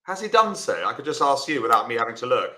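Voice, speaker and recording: Chatty man teaching, bright echoey voice. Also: mid-range voice, sing-song, quick pace. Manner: chatty, talks to viewers by name while explaining. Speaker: bridge teacher. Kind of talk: live online lesson.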